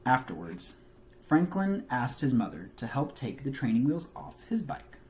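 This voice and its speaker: Animated man reading a children's storybook. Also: medium pitch, normal speed. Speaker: teacher reading a children's book aloud. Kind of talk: expressive storybook narration.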